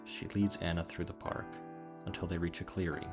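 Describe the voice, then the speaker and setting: Male narrator, very low voice, husky, scripted storytelling, soft music behind. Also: little pitch movement, brisk.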